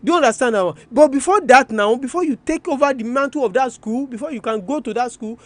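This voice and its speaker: A man's voice: very high-pitched, quick pace, a bit bright, no echo. A man with a Nigerian Yoruba accent giving an educational radio talk, explaining didactically, repeating key terms and asking rhetorical questions as he switches between two languages. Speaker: radio presenter.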